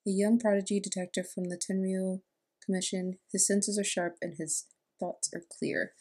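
A woman's voice: mid-range voice, little pitch movement, moderate pace, a little mellow. A young adult woman giving casual reaction commentary as a video host, indoors at home. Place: small room at home, indoors. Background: game trailer music.

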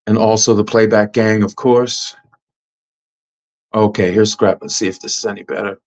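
A loud-voiced man hosting a casual panel discussion over a video call. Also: fairly even intonation, normal speed. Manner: loud, booming voice. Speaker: host. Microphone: computer mic on a video call.

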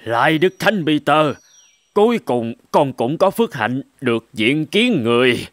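A man's voice: mid-range voice, big pitch swings, unhurried, dry recording. A man voicing a pious, self-righteous old hermit in a fairy-tale cartoon, speaking in a wondering, humbly formal way. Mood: pleading.

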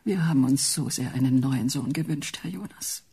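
Sentimental, anxious old wife. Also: female voice, rumbling low, moderate pace, vocal fry, mellow, husky, heavily acted. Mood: wistful. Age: older. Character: sentimental, anxious elderly poor wife longing for motherhood. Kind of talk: radio drama acting.

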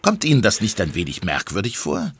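An actor playing a smug, hectoring police inspector in an audio drama, pressing and accusatory, with some ambience behind him. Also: male voice, deep voice, very animated, fairly quick, fairly bright tone, a little hiss or hum. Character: triumphant, hectoring male police inspector interrogating suspect.

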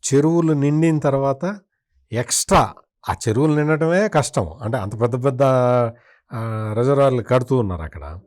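A man's voice: mid-range voice, lively intonation, moderate pace, long pauses, darkish tone. A man speaking as a political commentator in an interview, in a critical, opinionated way with emphatic repetition.

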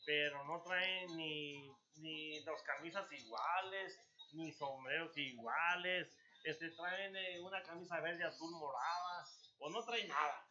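A man hosting a studio music show, talking high and bright with a lot of hype for the crowd. He jokes and chats easily with the band. The sound is dull and lo-fi. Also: takes it slowly, few pauses. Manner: hypes up the audience, joking and chatty. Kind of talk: lively talk-show hosting. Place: TV studio set, indoors.

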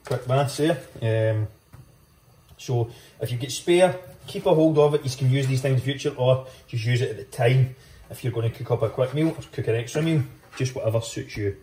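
A man's voice: mid-range voice, fairly quick, dark tone. A young man talking casually as he walks through a meal-prep recipe in his home kitchen, upbeat and loose, filming on a phone at arm's length. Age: young adult. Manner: chatty, upbeat, loose with swearing. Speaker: fitness vlogger. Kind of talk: casual cooking walkthrough. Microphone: phone held at arm's length. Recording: echoey kitchen, faint clicks.

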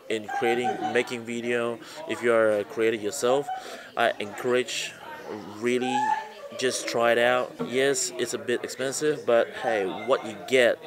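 A man in his thirties from Malaysia who grew up speaking several languages, vlogging to a camera held at arm's length in a convention centre. He rambles, repeats words, and sounds tired but upbeat. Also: mid-range voice. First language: not English. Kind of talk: casual selfie vlog. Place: large convention centre, indoors. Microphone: handheld camera at arm's length. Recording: crowd noise in a busy hall.

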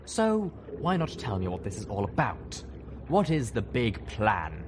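A man voicing a gruff, sardonic pegasus colonel in an audio drama, with an emphatic, challenging delivery. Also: lowish voice, sing-song, a little slow, big-room sound, background noise. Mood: probing.

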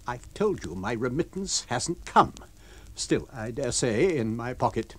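A man's voice: mid-range voice, very animated, measured pace, fairly bright tone. A man reading a story and voicing a curt, commanding stranger who is easily angered. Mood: angry. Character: irritable mysterious male stranger, imperious.

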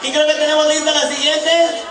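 A man working as a pageant master of ceremonies, announcing to a live audience in a loud, drawn-out showman delivery. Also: extremely high pitch, little pitch movement. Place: large indoor event hall with stage and audience. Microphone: event PA picked up by far camera. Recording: very echoey distant hall, loud music and crowd.

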